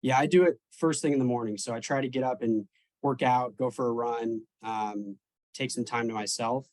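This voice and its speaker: A man in his twenties, a real estate agent, answering interview questions over a video call, relaxed, with frequent ums and restarts. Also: mid-range voice, moderate pace, dry recording, rough recording. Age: 20s.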